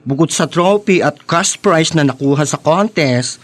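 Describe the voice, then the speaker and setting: An actor playing a campy, chatty young student in a Filipino radio drama, with a Filipino accent and theatrical delivery. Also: male voice, fairly high pitch, lively intonation, measured pace. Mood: chatty, playful. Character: campy, chatty student who insists on being called Nika.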